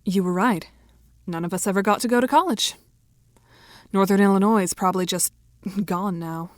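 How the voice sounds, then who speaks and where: A grim and wordy girl, acted by a woman, speaking at a medium pitch in a closely dry recording. Her delivery is measured, formal and gloomy, suited to doom-laden speeches in an audio drama. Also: no echo, lightly acted. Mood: apologetic, gloomy. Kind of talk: audio drama character acting.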